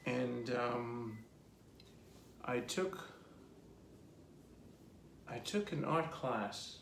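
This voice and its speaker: A man in his forties, an artist telling his life story in a relaxed, rambling way during an interview. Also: mid-range voice, extremely slow, vocal fry, a little hiss or hum. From Jamaica. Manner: rambling lists, relaxed and reflective. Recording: echoey room.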